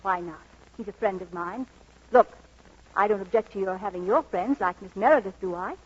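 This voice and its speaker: An actress playing an unruffled, gracious doctor wife in an old radio comedy, polite and gently dry. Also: female voice, medium pitch, normal speed, slightly rough, natural voice. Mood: calm, sweet. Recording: muffled old radio broadcast recording.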